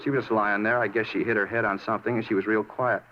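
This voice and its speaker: An actor in a 1950s radio drama playing a moody, self-justifying murder suspect who rambles insistently and casually. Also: male voice, on the low side, flat delivery, fast, natural voice. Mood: plaintive self-justifying. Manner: rambling, insistent, casual about his crime. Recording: muffled 1950s radio, faint noise.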